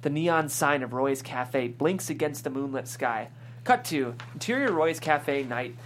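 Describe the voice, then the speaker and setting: A woman's voice: a bass voice, expressive, unhurried, a little hiss or hum. A woman reading scripted stage directions as the narrator at a table read.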